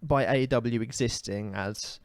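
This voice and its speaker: A man's voice: mid-range voice, measured pace, clean tone, no room sound, clean studio sound. A man co-hosting a casual comedy podcast, giving strong opinions in a rambling, ranting way with many fillers. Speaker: podcast co-host.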